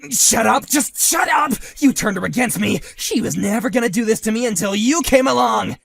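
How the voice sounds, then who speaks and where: A man voicing a hotheaded bully husband who shouts, whines and stammers when cornered, in a one-man voiced drama. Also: fairly high pitch, animated, fast talker, no room sound, lightly acted. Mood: furious. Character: hotheaded male bully husband, panicky and blustering.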